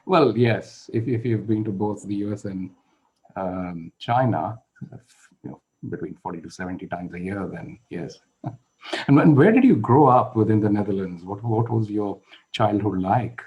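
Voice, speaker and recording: Low-voiced male podcast host. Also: expressive, measured pace, lo-fi sound. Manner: frequent brief backchannels. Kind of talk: interviewing.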